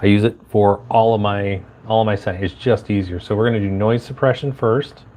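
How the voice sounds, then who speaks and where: A man's voice: lowish voice, steady tone, fairly quick, darkish tone, slight background noise. A man talking casually on a live stream, thinking aloud and muttering steps back to himself. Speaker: live streamer setting up a podcast room.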